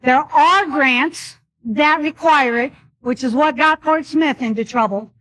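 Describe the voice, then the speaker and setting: A woman's voice: sits high, a little slow, fairly bright tone, low-quality recording. A woman speaking at a public meeting, earnestly advising the audience.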